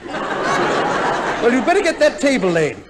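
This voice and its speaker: An actor playing a smug, pompous army major and husband in a 1950s radio comedy, with a theatrical manner. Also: male voice, very high voice, very animated, slow, very noisy, heavily acted. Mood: smug, bickering.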